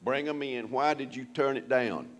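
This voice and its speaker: A man's voice: mid-range voice, normal speed. A man at a workshop emphatically laying out practical steps, one after another. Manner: emphatic, giving step-by-step advice. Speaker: workshop participant. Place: conference room.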